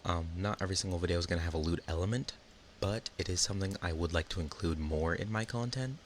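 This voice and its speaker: A man's voice: low-pitched, quick pace. A man talking casually and a little tentatively to viewers as an ASMR creator. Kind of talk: casual outro chat to viewers. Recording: faint background noise.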